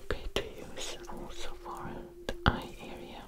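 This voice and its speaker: Young female ASMR makeup artist role. Soothing whisper, very unhurried delivery, close-miked. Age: young adult. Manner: slow, gentle, soothing whispering. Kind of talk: whispered ASMR personal-attention roleplay. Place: small indoor room. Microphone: close-up ASMR microphone.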